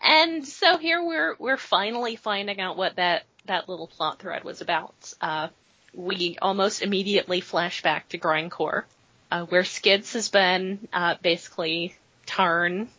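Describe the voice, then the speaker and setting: Brief: woman podcast host, bright and a little husky, chatty and rambling, dry lo-fi sound. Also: on the low side, unhurried, no room sound. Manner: chatty and rambling, full of fillers. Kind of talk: casual chat, recapping comics. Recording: dull lo-fi podcast audio.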